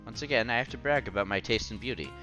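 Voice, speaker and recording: A man's voice: fairly low pitch, fast talker, sharp tone. A man playing an earnest, bashful young college student who narrates his own romance in a visual novel. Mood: admiring. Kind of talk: visual novel read-aloud. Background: music under the voice.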